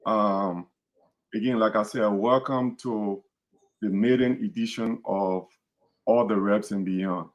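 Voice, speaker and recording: A man's voice: fairly low pitch, steady tone, slow, lo-fi sound. Earnest Liberian man hosting a podcast. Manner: earnest, repeats words and restarts phrases. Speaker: podcast host. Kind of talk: casual podcast talk.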